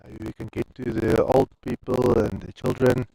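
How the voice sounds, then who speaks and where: A man's voice: medium pitch, steady tone, moderate pace, creaky, mellow, no echo, rough recording. A man with an Afrikaans accent giving chatty live commentary on an amateur cricket match, wandering into rambling asides between balls. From South Africa.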